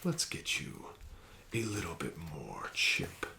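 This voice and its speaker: Male ASMR roleplay dealer: low, slow, soft and coaxing, lively rise and fall. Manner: soft-spoken, often whispering; smooth, flattering, gently coaxing. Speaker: ASMR roleplay host playing a casino dealer.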